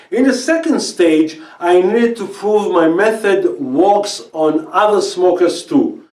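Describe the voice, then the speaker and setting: Hebrew-native guy in his 50s, earnest pitch, kinda far from the mic, roomy echo. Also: darkish tone. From Israel. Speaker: natural healing expert and quit-smoking coach. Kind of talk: scripted personal pitch.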